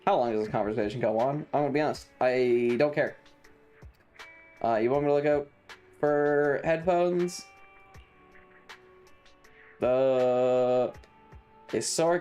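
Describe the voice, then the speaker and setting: A man's voice: medium pitch, a little mellow. A man live streaming a video game in a casual, chatty way, sarcastic and exasperated, often reading game text in a dismissive manner.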